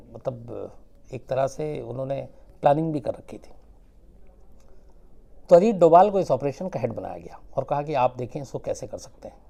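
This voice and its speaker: A man telling a true-crime story without a script, conversational and suspenseful, with dramatic pauses. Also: medium pitch, vocal fry, dark tone.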